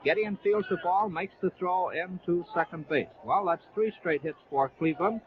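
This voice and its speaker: A man's voice: high voice, moderate pace. An American man calling baseball play by play as a 1930s radio announcer, narrating each move in clipped present-tense phrases. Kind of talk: 1930s live sports radio commentary. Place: live at a ballpark. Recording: muffled, noisy 1930s AM radio broadcast.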